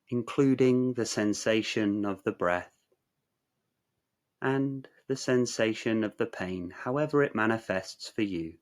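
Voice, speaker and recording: Very slow, low-voiced man guiding meditation. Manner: measured, soothing, instructional delivery with long pauses. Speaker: meditation guide. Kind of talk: guided meditation narration.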